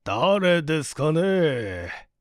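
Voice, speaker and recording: Man acting a mild gentleman father, very slow pace, smooth clear voice, sheepish, storybook reading. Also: fairly high pitch, big pitch swings, dry recording, clean studio sound, exaggerated voice. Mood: musing. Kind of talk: audiobook character reading.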